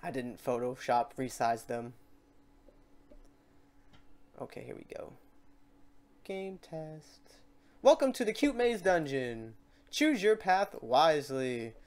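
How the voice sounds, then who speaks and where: A man hosting a casual, improvised software tutorial, thinking aloud in an informal, self-deprecating way. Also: fairly high pitch, big pitch swings, slow, pauses often, slight background noise. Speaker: tutorial host.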